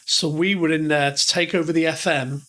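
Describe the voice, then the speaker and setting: A man's voice: a little high, fairly even intonation, normal speed, fairly bright tone. A middle-aged man with a northern English accent, telling rambling, self-deprecating stories as a podcast guest. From northern England. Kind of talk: conversational storytelling.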